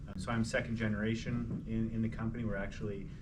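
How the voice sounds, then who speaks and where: A man introducing himself as a company president on a panel, relaxed with dry humor. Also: on the low side, fast talker. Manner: relaxed, self-deprecating humor. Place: conference panel stage. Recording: distant noisy room mic.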